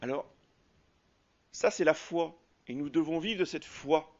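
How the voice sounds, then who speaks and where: A French-speaking priest preaching in a slightly high voice, pausing on fillers and starting phrases over as he explains. The recording is dull and lo-fi. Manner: hesitant, halting with many fillers and restarts. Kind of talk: sermon.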